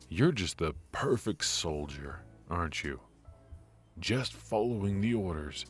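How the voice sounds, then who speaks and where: Very deep, slow-talking charismatic mafia infiltrator, acted by a man. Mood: teasing, probing. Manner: hushed, halting, teasing, with murmured asides. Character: charismatic male mafia infiltrator, world-weary, secretive, protective. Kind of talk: ASMR roleplay, intimate dramatic monologue.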